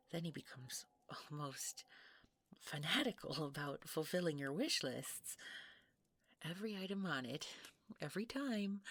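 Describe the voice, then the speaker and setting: Seeking low, creaky-voiced actress for a mature, possessive Mrs. Claus in an intimate audio roleplay; breathy, condescending, sweetly threatening. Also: female voice, heavily acted. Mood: teasing, sinister. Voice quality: breathy, husky, often whispering. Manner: slow, cooing, teasing, condescending.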